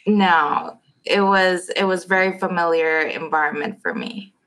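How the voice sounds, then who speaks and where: A young woman from South Korea answers interview questions slowly and hesitantly, with many ums. Her voice is bright and a little tense, and her pitch sits somewhat low. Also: rough recording. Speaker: nurse.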